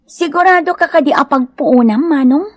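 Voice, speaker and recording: A woman's voice: high-pitched, moderate pace, close to an everyday voice. A woman playing a generous, easygoing younger sister in an Ilocano radio drama. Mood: considering. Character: generous, good-natured younger sister back from working in Manila.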